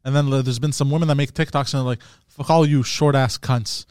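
A man's voice: mid-range voice, steady tone, brisk, a little mellow, smooth, dry recording, clean studio sound. A man co-hosting a crude comedy podcast who laughs a lot and rambles defensively, full of fillers, while the others tease him. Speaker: podcast co-host.

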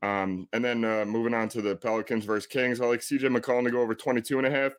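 A man with a deep voice giving NBA player picks, hesitant with many uhs and ums. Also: flat delivery, fast. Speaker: sports betting analyst.

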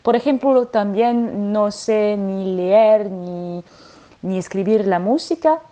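A woman's voice: mid-range voice, slow pace, dark tone, highs cut off. A French woman singer speaking Spanish with a French accent, answering interview questions with many hesitations and restarts. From France.

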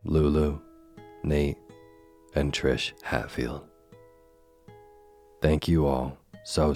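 A man hosting a bedtime podcast, talking slowly and soothingly while losing his voice. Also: a bass voice, gravelly, a little mellow. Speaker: podcast host reading bedtime stories. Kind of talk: slow soothing bedtime talk.

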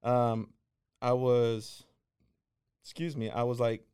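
Man, 30s, interview guest, smooth resonant voice, halting and hesitant delivery. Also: mid-range voice, steady tone, a little mellow. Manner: halting, many restarts and fillers. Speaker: guest singer and DJ. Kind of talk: casual interview chat.

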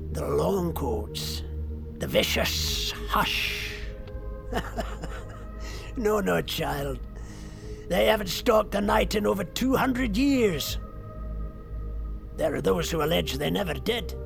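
A man's voice: sits high, big pitch swings, slow pace, creaky, long pauses, over music, exaggerated voice. An actor playing an aging, worldly wizard in an audio drama, sly and condescending, savoring his phrases with arch chuckles. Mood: dismissive, grandiose.

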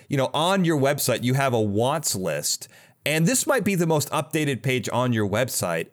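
A man hosting a casual interview podcast, chatty and enthusiastic in his delivery. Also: mid-range voice.

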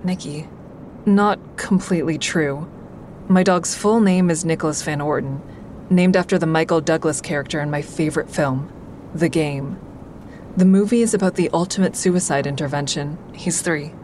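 A woman playing a calm, candid crisis line counselor in an audio drama, speaking in a measured, consoling way. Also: low-pitched, slight background noise, close to an everyday voice. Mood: gentle, sympathetic. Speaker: crisis line counselor and inner-monologue narrator. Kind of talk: audio drama acting with first-person asides.

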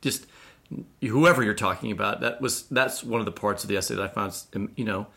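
Bright, forceful man, casual host. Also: fairly low pitch, brisk, gravelly. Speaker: podcast co-host. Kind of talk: casual literary chat.

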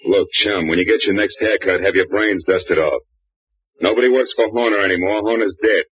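A man's voice: on the low side, normal speed, slightly rough, no room sound. A man playing a hard-boiled private detective who narrates a 1940s radio drama in a dry, wisecracking, clipped way. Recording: muffled old radio broadcast.

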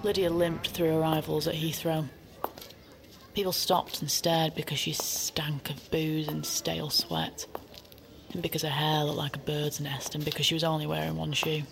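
A woman's voice: sits low, flat delivery, normal speed, vocal fry, background noise. An actress playing a sly, blithe companion who narrates a woman's life in a composed way in audio fiction. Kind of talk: scripted first-person narration in audio fiction. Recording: music under the voice.

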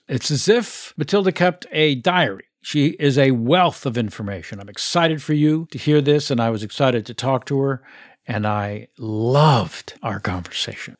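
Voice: medium pitch, no echo, studio quality. A podcast host interviewing a guest in a playful, jokey way. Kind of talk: casual interview.

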